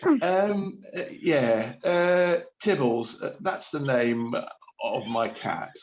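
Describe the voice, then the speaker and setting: A man's voice: medium pitch, lively intonation, very slow, a little hiss or hum. Man acting hesitant, sheepish shopper, swooping intonation. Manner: hesitant, stammering, evasive. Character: awkward, sheepish panic-buying supermarket shopper. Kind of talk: radio play acting. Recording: slightly dull lo-fi sound.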